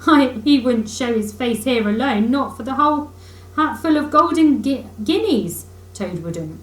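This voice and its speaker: Woman as a hearty, lively storybook river rat. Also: sits high, echoey room. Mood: amused. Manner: brisk, reassuring, old-fashioned chummy. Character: good-natured, hearty, capable male river rat. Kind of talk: children's storybook character.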